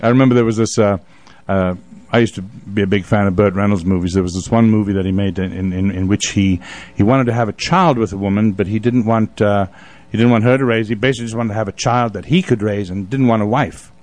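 A middle-aged man from Africa hosting a casual radio interview, telling anecdotes in an expressive, rambling way. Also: on the low side, fast. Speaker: radio show host.

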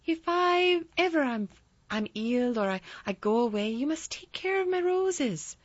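A woman voicing a crabbed but tender old Yorkshire gardener, with a dry, slow, obstinate manner, in a storybook reading. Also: sits high, animated, no echo, muffled recording, voice pushed up. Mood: slow, fond.

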